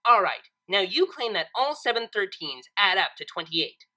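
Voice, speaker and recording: Woman acting stern female cartoon unicorn, bright forceful voice, emphatic, impatient, self-assured, animated pitch. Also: normal speed. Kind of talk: acted fan fiction reading.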